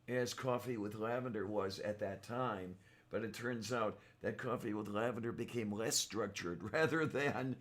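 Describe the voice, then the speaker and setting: A man hosting a casual livestream chat, rambling and halting, his voice hoarse from a sore throat. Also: lowish voice. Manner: rambling, halting, many fillers. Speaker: livestream host.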